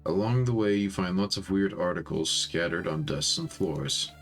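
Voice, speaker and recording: Very deep-voiced man narrating over music. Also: normal speed. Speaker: host reading a visual novel aloud. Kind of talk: storytime playthrough, scripted narration with casual asides.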